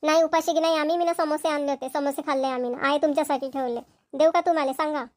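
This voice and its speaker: Woman acting as village housewife, high voice, fast talker, nagging, practical, Vidarbha Marathi accent, cartoon comedy. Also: steady tone, a little mellow, dry recording, clean studio sound, close to an everyday voice. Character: hard-working village wife and mother, nagging, practical.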